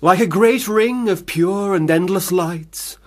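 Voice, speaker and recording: An actor playing a tipsy, excitable, poetic young brother in a period radio play. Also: male voice, high voice, slow pace. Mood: tipsy, rapt. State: drunk.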